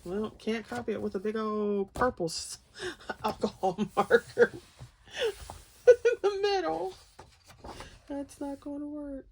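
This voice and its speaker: A woman's voice: a little high, slow, pauses often, a little hiss or hum. A woman chatting casually and unscripted to viewers as she hosts a flip-through, in a rambling, folksy, playful way. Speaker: host of a colouring book flip-through. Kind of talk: casual unscripted chat to viewers over book pages.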